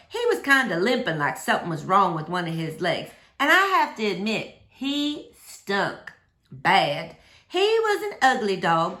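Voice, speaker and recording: A woman narrating a children's novel aloud in an expressive, animated storytelling manner for children. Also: medium pitch. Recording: roomy, echoey indoor sound.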